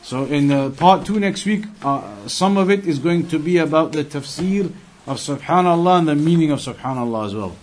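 A man's voice: on the high side, normal speed, a little mellow. A man giving a religious lesson as a teacher, moving between reciting quotations and explaining them.

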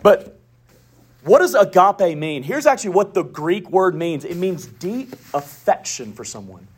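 A man preaching a sermon in a Southern US accent, with emphatic declarations, quiet asides and folksy humor. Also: high voice, big pitch swings, a little slow, slightly rough. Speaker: pastor.

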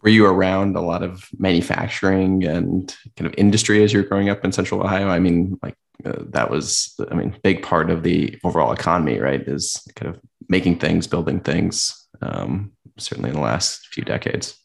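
A man's voice: low voice, normal speed, gravelly. A man hosting a podcast, asking loose, rambling interview questions with restarts.

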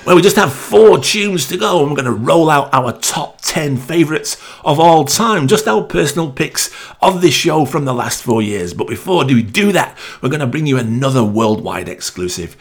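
A man's voice: fairly high pitch, expressive, moderate pace, hardly any pauses. A man hosting a radio show, introducing music in a presenter's style.

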